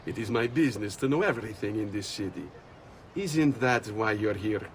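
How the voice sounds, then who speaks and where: An actor playing a sly, self-assured master thief with an Italian accent, teasing and theatrical in a game cutscene. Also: male voice, fairly low pitch, expressive, normal speed. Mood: sly, amused. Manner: teasing, theatrical, chuckling knowingly. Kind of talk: video game cutscene acting. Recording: faint background noise and ambience.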